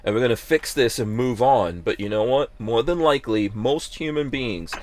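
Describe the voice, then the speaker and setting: A man's voice: mid-range voice, moderate pace, darkish tone, no room sound. A man from Guyana hosting a podcast, talking emphatically in casual opinion chat and firing rhetorical questions. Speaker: podcast host.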